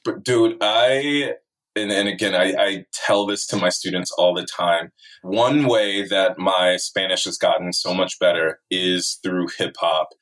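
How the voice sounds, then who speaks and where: Excitable New York man, low voice. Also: a little slow, poor audio. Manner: enthusiastic, excitable, says "like" and "dude". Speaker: teacher and podcast guest. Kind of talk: casual chat.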